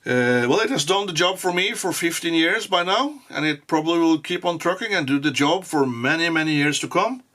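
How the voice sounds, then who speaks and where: A man whose first language is Norwegian, giving a casual firearm review in English with frequent pauses and restarts. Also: fairly high pitch, pushed and bright. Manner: halting, many fillers and restarts. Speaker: gun reviewer.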